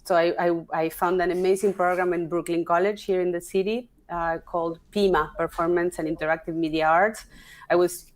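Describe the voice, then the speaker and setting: A woman from Argentina speaks English, her voice sitting a little low for a woman. She is in her forties and talks in a casual, thinking-aloud way, often stopping and restarting her sentences. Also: fairly even intonation, a little fast. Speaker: guest, opera singer and stage director. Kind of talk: casual interview conversation.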